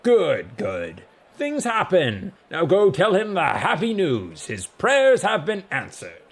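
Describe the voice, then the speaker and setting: Man voicing a brash, blustery god of rocks, swooping pitch. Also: wildly swooping pitch, slow, breathy edge, full character voice. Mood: breezy. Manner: blustery, offhand, bossy. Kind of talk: comic fantasy audiobook character.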